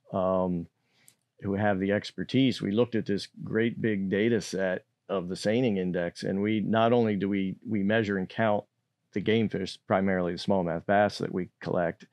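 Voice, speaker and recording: A lower-voiced older man explains things in a measured, halting way, stopping often to restart a thought. He speaks into a close studio mic on a boom arm, and the sound is dry. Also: gravelly, a little mellow, no room sound. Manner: measured, hesitant, many fillers and restarts. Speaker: state fisheries manager, guest. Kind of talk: explanatory interview answers. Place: indoor podcast studio.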